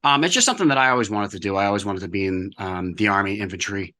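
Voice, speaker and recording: A man's voice: on the low side, racing, bright, forceful tone. A man in his forties from Massachusetts, a veteran guest speaking plainly with many fillers. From Massachusetts, near Boston. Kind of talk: casual interview chat.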